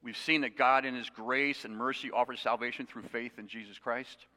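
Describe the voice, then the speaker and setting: Male pastor, mid pitch, bright tone, teaching sermon, reverberant sanctuary sound. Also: steady tone, normal speed. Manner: conversational, self-deprecating asides. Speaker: preacher. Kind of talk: expository sermon. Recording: long reverb of a hall.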